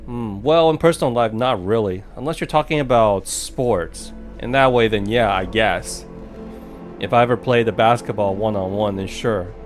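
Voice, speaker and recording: A man with a lowish voice gives relaxed let's play commentary as a streamer. He talks back to the game in a wry, teasing way, with soft music in the background. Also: moderate pace. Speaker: streamer playing a horror game.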